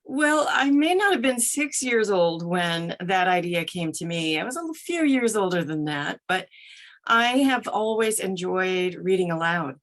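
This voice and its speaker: A woman's voice: lowish voice, expressive, unhurried, a bit bright. A middle-aged woman who narrates audiobooks, answering interview questions conversationally as a podcast guest.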